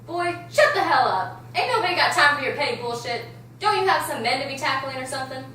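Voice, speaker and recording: Woman playing a sassy, high, bright flamboyant teenage boy. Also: lively intonation, fast. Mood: sassy, scolding. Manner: sassy, brash delivery. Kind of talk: amateur table read of a drama script. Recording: roomy, distant, faint noise.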